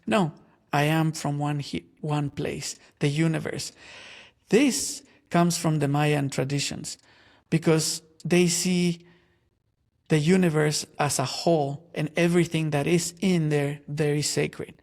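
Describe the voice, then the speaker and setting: A man from Guatemala who is an artist and a guest in an interview. He talks in a slow, reflective way, searching for words with many pauses. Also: on the high side, fairly even intonation, vocal fry, a little mellow.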